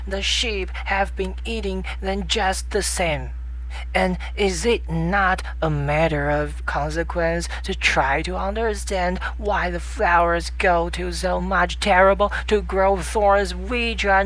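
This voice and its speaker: A reader acts out a little boy prince from a storybook, pitched high and speaking slowly. He is earnest and indignant, pressing each point with insistent reproach. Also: fairly bright tone. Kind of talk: storybook audiobook character voice.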